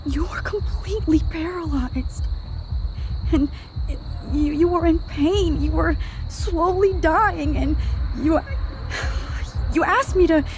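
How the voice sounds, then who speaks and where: A woman's voice: very high-pitched, darkish tone, a little hiss or hum, rough recording. A young American woman playing an anxious, earnest teenage girl in a dramatic video game, speaking in a hesitant, pleading way. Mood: guilt-ridden, grieving. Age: young adult. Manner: hesitant, halting, emotionally pleading.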